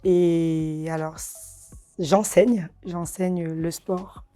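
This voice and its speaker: Low mellow woman. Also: steady tone, drawn-out words, faint music behind. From Réunion. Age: 30s. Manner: hesitant, many fillers, soft laughs. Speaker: karate champion guest. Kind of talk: casual interview answers.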